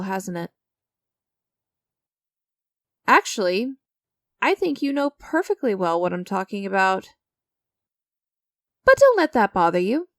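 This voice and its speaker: A woman playing an enigmatic, creepy stranger in a dramatic ASMR-style audio roleplay, teasing and condescending, with deliberate theatrical pauses. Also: high voice, dry recording, clean studio sound, exaggerated voice. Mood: coy, falsely friendly. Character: enigmatic, creepy female stranger, sly and knowing deal-maker.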